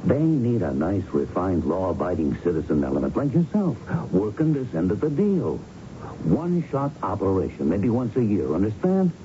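A man's voice: low-pitched, sing-song, moderate pace, warm tone. An actor playing a slick, pushy mob go-between in a 1970s radio drama, talking in streetwise, wheedling salesman patter. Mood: pushy, persuasive. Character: slick, pushy, smooth-talking male mobster go-between, persuasive. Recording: dull, lightly noisy 1970s radio recording.

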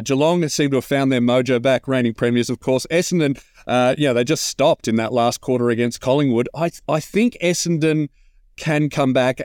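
Aussie bloke co-hosting sports chat, jokey and wry, really dry sound, middle aged. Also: male voice, medium pitch, a little mellow, clean tone, no echo. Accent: Australian. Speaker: sports podcast co-host. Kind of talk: casual joking sports chat.

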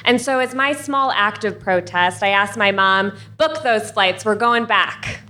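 A woman's voice: medium pitch, sharp tone. Earnest woman telling a personal story. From United States. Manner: earnest, measured storytelling with wry asides. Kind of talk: personal story told live on stage.

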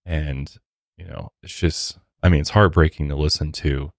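A man's voice: extremely low pitch, fairly even intonation, moderate pace, gravelly, dry recording, studio quality. A man hosting a podcast, talking in a casual, conversational way. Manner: conversational, informal. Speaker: podcast host. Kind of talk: casual podcast commentary and sponsor read.

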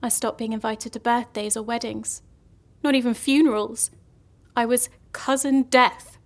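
A woman's voice: fairly high pitch, steady tone, unhurried. An actress playing a lonely, gloomy young woman in an audio drama comedy, telling morbid stories earnestly and hesitantly. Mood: bitter, mournful.

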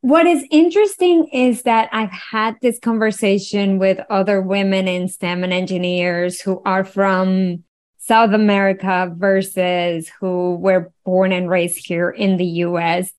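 A middle-aged woman from Venezuela with a Venezuelan accent, a podcast guest telling her story in an animated, playful way with frequent laughs. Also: mid-range voice, a little slow. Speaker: guest, wellness engineer. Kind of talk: conversational storytelling.

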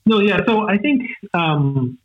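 A man's voice: sits high, sing-song, slow. A man from Austria, a native German speaker in his forties, reflecting in conversation with many fillers and tag questions. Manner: hesitant, many fillers and "right?" tags. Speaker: guest. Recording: tinny phone-like line.

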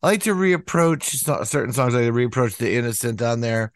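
A man musician talking casually in an interview, rambling with lots of "you know" fillers and acting out quotes in a higher voice. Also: expressive, moderate pace, dry recording. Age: older. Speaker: musician guest.

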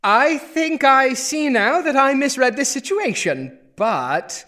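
Pompous, animated Victorian gentleman detective. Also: very high voice, takes it slowly, no room sound, clean studio sound, heavily acted. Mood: flustered. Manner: pompous, wordy, precise. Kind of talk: comedic audio drama, theatrical narration.

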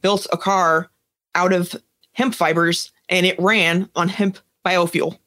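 A woman's voice: on the low side, fairly even intonation, slow, fairly bright tone. A woman co-hosting a podcast, explaining a story in an informal, chatty way. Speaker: podcast co-host. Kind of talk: casual podcast chat, explaining a news story.

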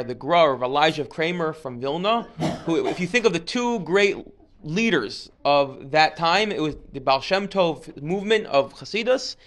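A man with a slightly higher voice leads an informal history class. He wanders off on tangents and restarts his sentences often, with joking asides along the way. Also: a bit bright. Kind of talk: informal lecture.

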